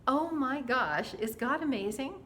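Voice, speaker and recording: A woman's voice: high-pitched, expressive, a little slow, a bit bright. Earnest, dramatic woman storyteller, noisy background. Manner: earnest, dramatic, acts out dialogue. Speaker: storyteller sharing a personal faith testimony. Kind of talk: animated personal storytelling to camera.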